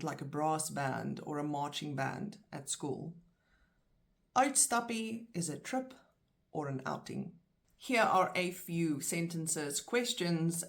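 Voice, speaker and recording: A middle-aged South African woman, a native Afrikaans speaker, teaching vocabulary and saying each word slowly and clearly. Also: low voice. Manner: slow, clear word-by-word pronunciation. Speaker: language teacher. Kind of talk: vocabulary lesson.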